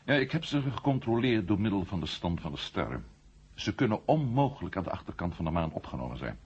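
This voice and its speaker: A confident maverick ex-astronaut in an old radio drama. His voice is very low and animated, and he argues point by point. The recording is muffled. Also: quick pace, husky, heavily acted. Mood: probing, confident. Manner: argumentative, rhetorical, building his case point by point. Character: outspoken ex-astronaut turned maverick writer, male, confident and provocative. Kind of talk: 1970 Dutch radio drama acting.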